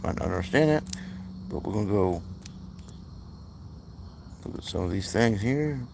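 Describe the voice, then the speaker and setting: A man hosting his channel, talking casually and profanely over his screen, rambling and excitable with many asides to viewers. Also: on the low side, normal speed, creaky, background noise. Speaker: channel host. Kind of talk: casual screen-share commentary.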